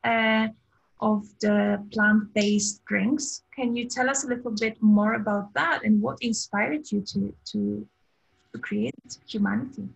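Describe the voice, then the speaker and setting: Husky, creaky-voiced woman moderator. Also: medium pitch, steady tone, a little slow, lo-fi sound. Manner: hesitant, many fillers. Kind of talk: video call panel moderation. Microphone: video call.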